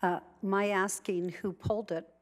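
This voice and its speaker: A woman councillor speaks up in a council meeting in an even, flat tone. She sounds hesitant and apologetic, and her voice is going hoarse. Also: mid-range voice, unhurried. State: losing her voice. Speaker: town councillor.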